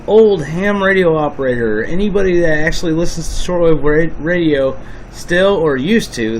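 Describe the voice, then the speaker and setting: Casual higher-voiced male podcast host. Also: a little hiss or hum, rough recording. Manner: informal, rambling, earnest. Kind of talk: casual explainer chat.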